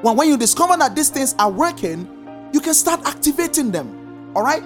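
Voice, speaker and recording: Preacher, animated swooping intonation, slow emphatic repetition, faint music behind. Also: high-pitched. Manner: lively sing-song emphasis, slow drawn-out repeated commands. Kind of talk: sermon teaching.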